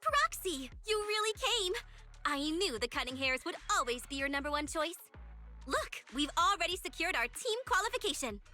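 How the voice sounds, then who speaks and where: A woman's voice: very high voice, sing-song, normal speed, pushed and bright, full character voice. A woman voicing a peppy, eager young woman in a video game. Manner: bubbly and eager. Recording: game audio under music.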